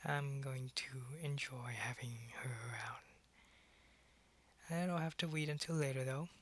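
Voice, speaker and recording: Man acting a slow, suave, menacing ancient vampire. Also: medium pitch, fairly bright tone. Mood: pleased, musing. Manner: slow, deliberate, taunting, condescending. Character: centuries-old male vampire, suave, menacing, possessive, gloating captor. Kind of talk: ASMR roleplay monologue.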